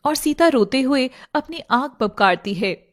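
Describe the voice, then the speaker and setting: A woman's voice: high-pitched, expressive, unhurried. A woman narrating a scripted story in a dramatic storytelling style.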